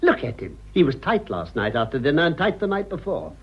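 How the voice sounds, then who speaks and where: An actor playing a worldly, sardonic old English gentleman with polished manners, in a radio drama. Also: fairly high pitch, huge pitch swings, brisk, slightly rough, exaggerated voice. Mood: scornful. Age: older. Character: cynical, urbane old English gentleman, amused and cutting. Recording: muffled radio recording.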